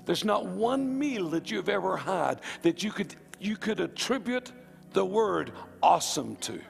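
A man's voice: high-pitched, sing-song, measured pace, gravelly. A man preaching a live sermon to a congregation, with emphatic, fervent repetition. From Northern Ireland. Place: church hall with a live congregation. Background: music.

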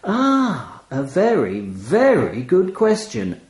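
A man voicing a talking raven in a short story, mock-friendly and smugly menacing, hamming up his pauses. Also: fairly high pitch, huge pitch swings, darkish tone, roomy sound, pitched above the performer's own voice, heavily acted. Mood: coy menace. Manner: mock-friendly, assured, hammy, savouring pauses. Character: menacing, smug talking raven demanding a toll. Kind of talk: dramatised short-story reading.

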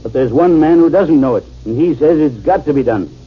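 An actor playing an army general with a commanding manner in a 1950s radio drama. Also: male voice, fairly high pitch, animated, a little fast, husky. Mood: urgent, dutiful. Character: brisk commanding male army general, gentle with the sick. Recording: dull, noisy old 1950s radio recording.

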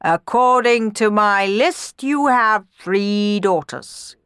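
Lady doing a calm wise royal adviser guy in a storybook, deliberate, kindly, dry sound. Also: mid-range voice, smooth, no room sound, voice pushed up. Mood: firm challenge.

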